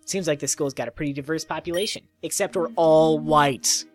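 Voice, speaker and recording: A man's voice: fairly high pitch, fairly even intonation, fairly quick, faint music behind. A man hosting a let's play, reading a visual novel aloud with jokey, irreverent asides. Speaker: let's play host and reader.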